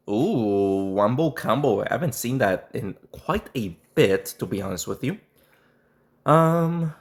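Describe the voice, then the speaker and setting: A man with a hoarse voice, sick and nearly losing it, commenting casually on a mobile game match he is playing. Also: fairly low pitch. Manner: throat clearing, halting restarts. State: sick, voice strained and nearly lost. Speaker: gaming YouTuber.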